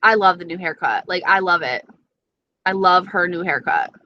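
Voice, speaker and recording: A woman's voice: lowish voice, moderate pace, no room sound, lo-fi sound. A young woman with an East Coast accent chatting casually on a live stream, reading viewer comments and swearing freely. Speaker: beauty vlogger.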